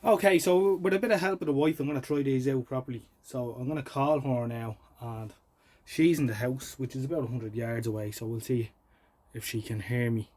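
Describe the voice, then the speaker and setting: An Irish man giving casual, informal commentary as he does hands-on repair work, muttering to himself in an unhurried way. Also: medium pitch, darkish tone. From Ireland. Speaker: presenter of a vintage radio repair channel.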